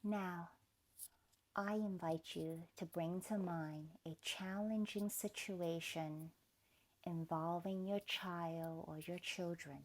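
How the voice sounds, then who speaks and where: Woman, meditation guide, very slow pace, lowish pitch, gentle and soothing, deliberate pauses. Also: steady tone. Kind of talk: guided meditation, scripted narration.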